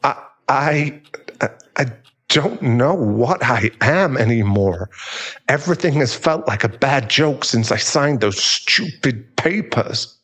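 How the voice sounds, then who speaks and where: A troubled divorced father played by a man in an audio roleplay. He sounds remorseful and emotional, with halting, stammering speech and a mid-range male voice. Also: medium pitch, animated, a bit bright. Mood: defensive, lost. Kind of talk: dramatic audio roleplay acting.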